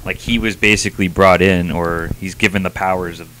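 A young man with a deep voice chats as a co-host on a review show. His talk is informal and slangy with casual swearing, spoken into a handheld mic. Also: fairly even intonation, brisk, a bit bright, a little hiss or hum, rough recording. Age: young adult. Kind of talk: casual chat. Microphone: handheld microphone.

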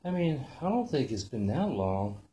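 A man's voice: mid-range voice, big pitch swings, moderate pace, warm tone, slight background noise. A man hosting an exploring video, giving casual, off-the-cuff commentary with muttered exclamations and some swearing. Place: on location at an abandoned house.

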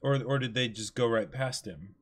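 Man in his twenties, average pitch, low-key co-host making casual remarks on a story. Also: normal speed. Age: 20s. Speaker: co-host of a reading stream. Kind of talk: casual chat, reaction commentary.

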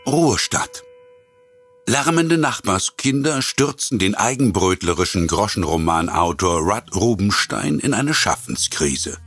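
A man narrating the intro of an audio drama in a theatrical, deliberate way. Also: fairly low pitch, lively intonation, fairly bright tone, faint music behind.

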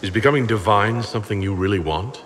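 A man's voice: on the low side, fast talker, a bit bright, big-room sound, a little hiss or hum, close to an everyday voice. An actor playing a male inquisitor in a fantasy video game, asking direct questions.

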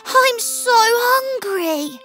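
A woman voicing an eager, whiny little boy parrot in a children's cartoon, squeaky and childlike. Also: lively intonation, extremely slow, bright, forceful tone, faint music behind, exaggerated voice. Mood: whiny, hungry.

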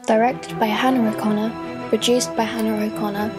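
Flat woman announcer over loud music. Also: fairly high pitch, a little fast. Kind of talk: scripted credits reading.

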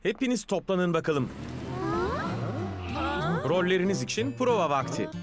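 A man voicing a brisk show manager in a dubbed cartoon, giving orders to the cars. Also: high-pitched, very animated, over loud music. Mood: bossy. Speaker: voice actor. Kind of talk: Turkish cartoon dub.

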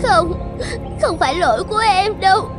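A woman's voice: extremely high pitch, expressive, normal speed. A woman voicing a serious, caring teenage elder sister in a cartoon, with an earnest, tender delivery. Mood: guilty, sorrowful. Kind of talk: cartoon dubbing. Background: music.

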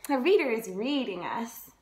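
A young woman reading a picture book aloud for children, adding soft, gentle explanatory asides. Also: on the high side, sing-song, moderate pace. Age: young adult. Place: small bedroom, indoors. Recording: roomy echo of a bedroom.

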